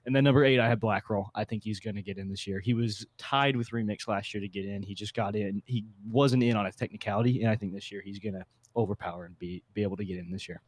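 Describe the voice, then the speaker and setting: A man's voice: fairly low pitch, steady tone, quick pace, few pauses, no room sound. A man casually explaining his predictions at length as a podcast panelist, with plenty of opinions. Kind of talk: casual discussion. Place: online call, talking at a computer.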